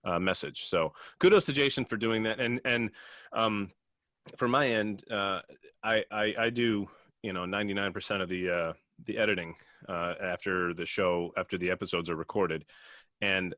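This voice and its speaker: A man co-hosting a casual podcast discussion, speaking haltingly and often restarting his phrases. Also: deep voice, fairly bright tone, no room sound. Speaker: podcast host and audio editor.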